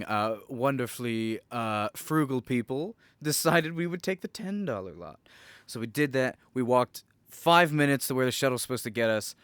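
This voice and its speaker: A man's voice: medium pitch, a bit bright, smooth, no echo. A young man hosting a casual podcast, joking, laughing often and swearing while telling a story. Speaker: podcast host.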